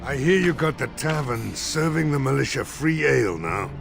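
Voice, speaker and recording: An actor playing a gruff, plain-spoken village militia leader in a video game, weary but resolute, with a blunt, folksy manner. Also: male voice, mid-range voice, lively intonation, normal speed, over music. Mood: grudging approval. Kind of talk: video game dialogue acting.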